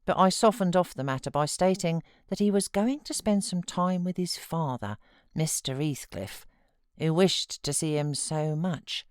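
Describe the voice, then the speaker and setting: A British woman reading classic literature as an audiobook narrator, in a measured, expressive storytelling manner. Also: sits low, no room sound, clean studio sound. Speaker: audiobook narrator, voiceover artist.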